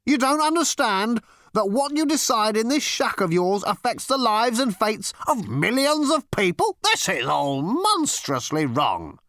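A stiff, impatient official as a male narrator plays him in a storybook reading. The voice sits well above the narrator's natural pitch and swings emphatically, pressing each question with indignant exasperation. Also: big pitch swings, a little slow, pushed and bright, dry recording, studio quality, full character voice. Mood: indignant, exasperated.

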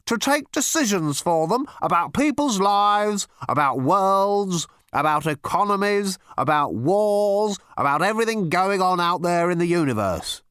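A stiff, impatient official as a male narrator plays him in a storybook reading. The voice sits well above the narrator's natural pitch and swings emphatically, pressing each question with indignant exasperation. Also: animated, unhurried, few pauses, fairly bright tone, clean tone, no echo, clean studio sound. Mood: impatient, insistent.

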